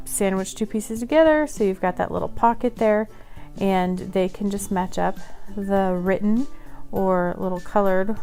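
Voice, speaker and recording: A woman's voice: mid-range voice, a little mellow. A woman hosting a casual craft tutorial, talking in a chatty, friendly way and laughing at herself now and then. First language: English; Polish is her second language. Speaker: craft channel host.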